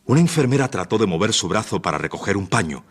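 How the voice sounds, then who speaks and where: A man's voice: lowish voice, very animated, fast talker. A man narrating a suspense radio play in a dramatic, scripted style.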